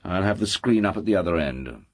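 An aristocrat with a very low voice. He is commanding and curt, issuing clipped orders with cool authority, as in a dramatised storybook. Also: animated, a little fast. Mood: brisk, businesslike. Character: commanding aristocratic uncle, curt and coolly domineering.

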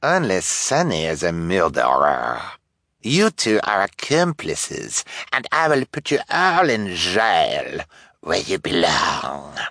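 A sneering villain in an audiobook, acted by a male reader, posing as a detective. He drags out his threats with gloating mockery. The sound is dry and close, with a forceful edge. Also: low-pitched, huge pitch swings, takes it slowly, gravelly, dry recording, heavily acted. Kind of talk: audiobook character voice.

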